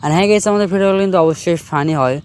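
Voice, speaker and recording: A young man hosts a cartoon channel. His voice is high and he talks quickly straight to viewers, with faint noise behind him. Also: a little mellow. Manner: addresses viewers directly. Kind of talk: scripted intro and outro.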